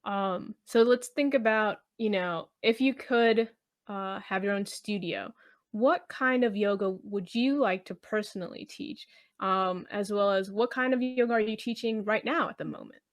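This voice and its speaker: A woman hosting an interview, responding easily and asking questions in a relaxed, casual way. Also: mid-range voice, moderate pace. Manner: easygoing, responsive, with fillers. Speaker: interviewer, host.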